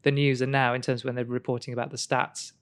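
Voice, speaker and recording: A man's voice: mid-range voice, level tone, fast talker, clean tone, no echo. A man hosting a podcast, chatting casually and teasing his co-host, laughing often. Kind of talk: casual chat.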